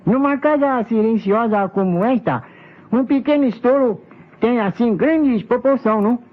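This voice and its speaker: An actor playing a humble, flattering detective's sidekick, eager and ornately polite, in old radio mystery style. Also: male voice, very high voice, normal speed. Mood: humbly explaining. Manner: deferential, ornately polite, repeats phrases. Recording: tinny, thin old radio recording.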